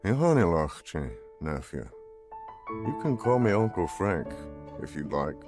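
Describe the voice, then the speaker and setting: An actor playing a dignified, grave older uncle and band leader in a video game, speaking in a measured, formal way. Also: male voice, deep voice, sing-song, music in the background, heavily acted. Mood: welcoming, dignified. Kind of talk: video game cutscene acting.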